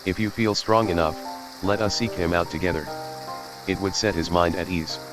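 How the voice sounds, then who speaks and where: A man's voice: sits low, fairly even intonation, normal speed, close to an everyday voice, poor audio. An actor playing a dutiful, caring male retainer in a video game, speaking with formal deference. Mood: courteous, reassuring. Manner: deferential, formal servant's address. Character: dutiful, concerned male retainer of noble house. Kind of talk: scripted video game dialogue. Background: game music.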